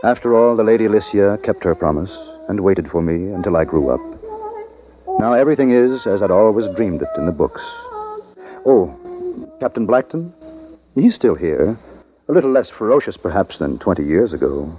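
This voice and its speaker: An actor playing a wistful, courteous grown man narrating his boyhood memories in measured, literary 1950s radio style. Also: fairly low pitch, very animated, phone-quality sound. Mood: nostalgic. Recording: band-limited 1950s radio recording, loud music.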